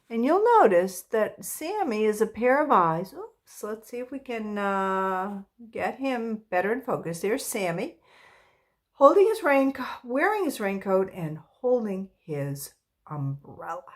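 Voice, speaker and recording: A woman reading a story aloud to young children, expressive and emphatic, as a volunteer reader. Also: mid-range voice.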